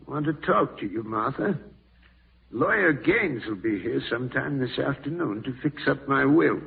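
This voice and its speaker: A thin, old-radio recording carries an ailing old man with a rough, gravelly voice. He is insistent and brooding, commanding those around him while haunted by fear of the grave. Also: mid-range voice, expressive, normal speed, far from the mic, heavily acted. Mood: grave, bitter. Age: older. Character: old ailing man, morbidly fearful of live burial, domineering. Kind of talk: 1940s radio horror acting. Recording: muffled vintage radio broadcast, thin narrow band.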